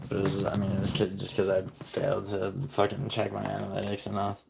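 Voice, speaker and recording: A man with a very deep, low voice talks loosely on a live stream, answering his viewers as their messages come in. He rambles, swears freely and keeps restarting his sentences with "like" and "I mean". He was born in Italy and raised in New York. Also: monotone, fairly quick, vocal fry, heavy background noise. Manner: rambling and profane, with many "like" and "I mean" fillers and restarts. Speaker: livestreamer chatting with viewers.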